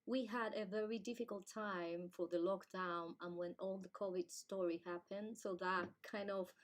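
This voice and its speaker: A woman, talking live into a webcam as podcast co-host. She speaks at a middle pitch, in a chatty, personal way. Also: steady tone, moderate pace. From Dominican Republic. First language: Spanish. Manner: chatty, warm, personal storytelling with fillers. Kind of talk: casual live podcast chat. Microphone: webcam on a live video stream.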